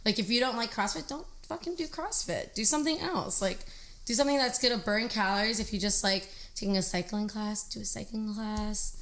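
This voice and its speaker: A woman in her twenties, chatting casually as a podcast guest, animated and laughing, saying "like" often, on a desk mic in a living room studio. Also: mid-range voice, a little fast. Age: 20s. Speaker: podcast guest, trucker and fitness trainer. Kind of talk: casual interview chat. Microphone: desk mic on a stand.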